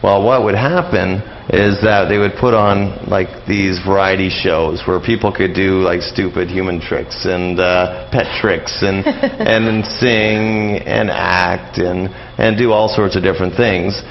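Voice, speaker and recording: A man's voice: low voice, steady tone, unhurried, few pauses. A man talking casually as an interview guest, explaining things in a rambling, hesitant way. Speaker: guest, old-time radio expert. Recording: dull lo-fi sound with background hiss.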